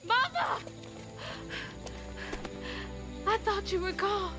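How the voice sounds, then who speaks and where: A woman's voice: very high-pitched, big pitch swings, normal speed. A young woman playing a brave, earnest, determined daughter and hero in a video game cutscene. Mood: relieved, emotional. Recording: loud music under the voice.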